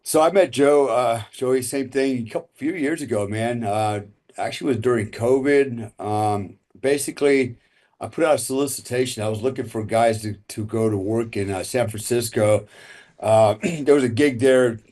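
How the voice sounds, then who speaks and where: A man's voice: mid-range voice, moderate pace, a little mellow. A man, a podcast guest, telling a rambling story with chuckles along the way. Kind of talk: casual storytelling.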